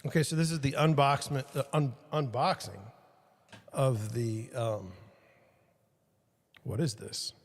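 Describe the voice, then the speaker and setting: A man's voice: mid-range voice, slow pace, pauses often, big-room sound. A podcast host rambling to his livestream viewers while swapping out his microphone mount, joking at his own expense. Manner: self-deprecating, rambling, talks to viewers. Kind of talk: casual self-talk while fixing gear on a livestream. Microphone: studio mic with changing levels.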